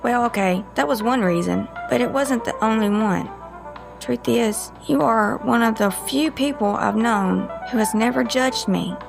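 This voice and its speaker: Wry sarcastic psychic woman, creaky voice, dry teasing audio drama banter, music behind her. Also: on the high side, measured pace. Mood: candid. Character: wry, guarded psychic woman who teases her old friend. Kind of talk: scripted audio drama dialogue.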